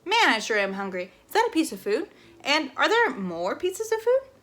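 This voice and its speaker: A woman's voice: high-pitched, huge pitch swings, moderate pace, a bit bright, voice pushed up, exaggerated voice. A woman voicing a simple-minded, food-obsessed male squirrel from a storybook, earnest and single-minded. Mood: hungry.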